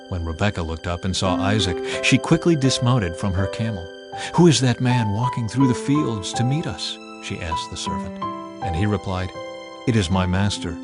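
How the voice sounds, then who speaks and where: A man reading scripture as a scripted audiobook narration, with measured, dramatized storytelling delivery. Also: mid-range voice. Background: background music.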